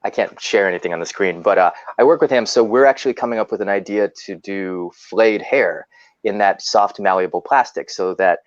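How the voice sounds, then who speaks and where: A low-voiced man talks through his methods in a rambling, halting way, stopping and restarting often. His audio is muffled and dry, with no room sound. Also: no room sound. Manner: rambling, halting, lots of fillers and restarts, chuckles while explaining. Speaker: guest customizer. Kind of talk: casual chat.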